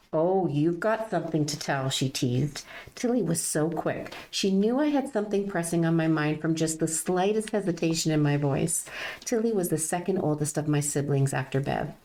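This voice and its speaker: An older woman hosting a chat and reading aloud from her own novel, agreeable and quick with "yeah". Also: low-pitched, darkish tone, rough recording. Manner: agreeable, frequent "yeah" backchannels. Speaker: podcast host and author reading her novel. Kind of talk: casual chat and book reading.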